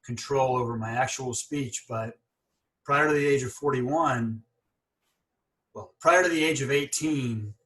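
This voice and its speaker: A man's voice: medium pitch, a bit bright. A man in his forties hosting a podcast, talking candidly in a casual interview with frequent stuttering blocks and repeated syllables. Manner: candid and earnest. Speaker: podcast host.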